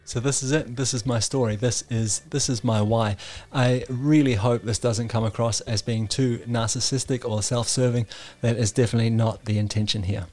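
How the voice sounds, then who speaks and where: A man's voice: mid-range voice, fairly even intonation, normal speed, a little mellow, faint music behind. A man, hosting a podcast and telling his own story in a candid, self-deprecating way, with small restarts. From New Zealand. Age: 40s. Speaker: podcast host. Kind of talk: personal storytelling monologue.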